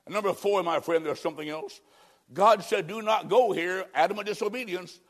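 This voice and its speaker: A man preaching a revival sermon, emphatic, moving from shouts down to hushed low tones. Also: brisk.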